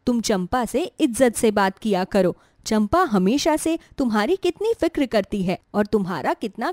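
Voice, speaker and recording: A woman voicing a caring middle-aged mother who gently scolds and soothes in an earnest way. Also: medium pitch, dry recording, studio quality, close to an everyday voice.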